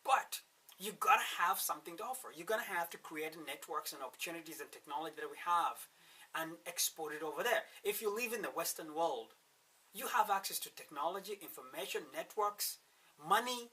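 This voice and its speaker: A man with a Ugandan accent giving an emphatic motivational pitch as a workshop speaker, with rhetorical turns and repeated words. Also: pushed and bright, breathy edge, recorded from a distance. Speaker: wealth-creation workshop speaker. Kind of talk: motivational sales pitch to camera.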